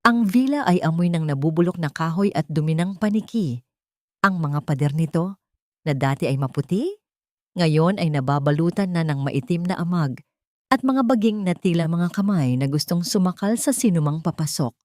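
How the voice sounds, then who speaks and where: Low-voiced woman reading a dramatic story. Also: lively intonation, moderate pace, darkish tone, dry recording, studio quality. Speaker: audiobook narrator.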